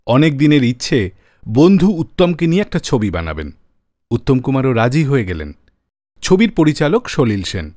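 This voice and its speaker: Male radio presenter for scripted storytelling, expressive swooping delivery, mid-pitched voice, dry recording. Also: no room sound. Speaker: radio show host.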